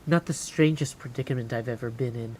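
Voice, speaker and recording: Streamer doing a solemn fantasy game advisor, slow and formal, clear noise in the background. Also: mid-range voice, warm tone, close to an everyday voice.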